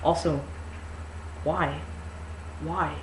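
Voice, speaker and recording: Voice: mid-range voice, steady tone, very slow. Sarcastic, sweary amateur reader in an echoey room. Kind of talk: mock-dramatic novel reading with sarcastic asides. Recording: echoey room with faint noise.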